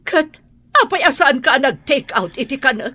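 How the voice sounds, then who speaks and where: A woman's voice: high voice, expressive, moderate pace, bright, forceful tone, no echo. A woman playing a haughty, demanding rich matron with an Ilocano accent in a radio drama. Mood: indignant. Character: Madam Carla, haughty, hard-bargaining rich matron.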